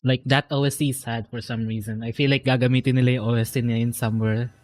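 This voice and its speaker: A man's voice: mid-range voice, level tone, fast talker, a little mellow. A man with a Filipino accent giving casual gameplay commentary as a streamer, thinking aloud and switching languages, over game music. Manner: code-switching, musing aloud. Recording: faint game music behind the voice.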